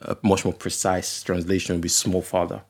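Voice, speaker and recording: Young nigerian guy on a podcast, deep voice, laid back, lots of ums. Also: brisk, gravelly, husky. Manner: relaxed, hesitant with fillers, laughs easily. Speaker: podcast guest. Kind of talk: casual conversation.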